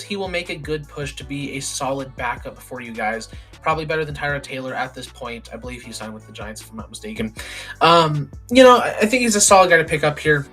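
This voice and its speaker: A young man giving casual, opinionated football draft commentary, his voice hoarse and raspy. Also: on the high side, bright, forceful tone. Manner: opinionated, plain-spoken with frequent ums.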